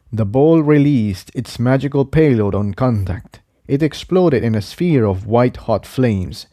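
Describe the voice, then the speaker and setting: A man reading an adventure story aloud as narrator, in a measured, dramatic storytelling manner. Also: lowish voice, warm tone, no room sound, clean studio sound. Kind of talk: scripted narration, audiobook-style story reading.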